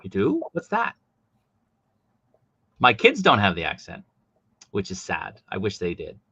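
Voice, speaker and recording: A man's voice: lowish voice, huge pitch swings, vocal fry, pushed and bright, husky, dry recording, lo-fi sound. A middle-aged man with a Boston accent, a guest toy collector chatting casually and telling jokey stories. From Boston, USA. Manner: jokey, chatty anecdotes with quick bursts. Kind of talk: casual chat, storytelling.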